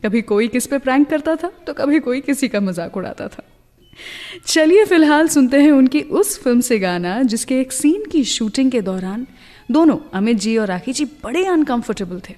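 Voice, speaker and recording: Woman radio host, bright voice. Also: high voice, animated, normal speed, big-room sound. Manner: chatty storytelling with anecdotes. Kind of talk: scripted radio narration.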